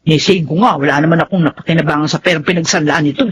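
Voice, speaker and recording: A woman's voice: deep voice, very fast, slightly rough. A woman playing an outspoken, principled wife and mother in a Tagalog radio drama, emphatic and reproachful. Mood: hurt, reproachful.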